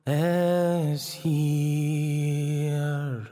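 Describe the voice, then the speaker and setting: A woman singing a slow folk ballad in a lilting, drawn-out way on a studio album track. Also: sits low, flat delivery. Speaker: folk singer. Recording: studio album track with loud guitar accompaniment.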